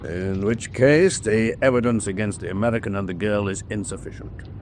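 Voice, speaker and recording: Man playing a measured, ruthless U-boat adviser. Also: lowish voice, noisy background, close to an everyday voice. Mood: coolly persuasive. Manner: measured, insinuating, formal. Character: coolly persuasive, ruthless male adviser aboard a U-boat. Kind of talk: radio drama acting.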